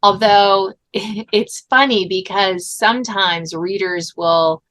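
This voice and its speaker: A woman speaks as a podcast host in relaxed, informal conversation. Her voice sits at a middling pitch. She is animated and conversational, peppering her sentences with "like" and "you know". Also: takes it slowly.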